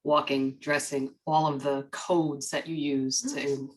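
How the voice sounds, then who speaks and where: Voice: medium pitch, little pitch movement, slow. A seminar participant making an earnest point on a video call, with some fillers. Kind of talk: commenting in an online seminar.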